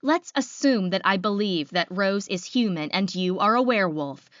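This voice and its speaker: A woman's voice: mid-range voice, expressive, moderate pace, dull audio, lightly acted. Woman playing an angry, imperious she-wolf leader. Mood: scornful. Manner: sharp, imperious, confrontational. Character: angry, proud female werewolf pack leader.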